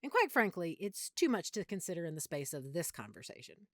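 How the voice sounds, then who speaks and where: A woman playing an affectionate, nerdy, opinionated girlfriend in an intimate audio roleplay, talking in a playful, expressive, slightly fussy way. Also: lowish voice, fairly quick, no room sound, clean studio sound. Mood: animated, opinionated. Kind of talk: audio roleplay, intimate one-sided conversation.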